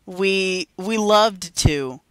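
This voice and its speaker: Giggly young woman, smooth clear voice. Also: mid-range voice, extremely slow, no echo. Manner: giggly, animated storyteller. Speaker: podcast co-host. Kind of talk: casual chat about video games.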